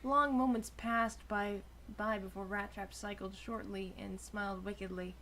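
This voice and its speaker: A woman's voice: medium pitch, steady tone, a little slow, sharp tone. A young woman reading fan fiction narration aloud in an amateur style, with casual asides to friends. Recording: clearly noisy amateur home recording with clicks.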